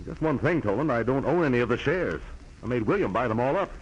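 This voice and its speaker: An actor playing a pompous, brusque, money-minded older brother in a 1940s American radio drama. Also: male voice, medium pitch, animated, fast talker, breathy edge, dull audio. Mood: calculating.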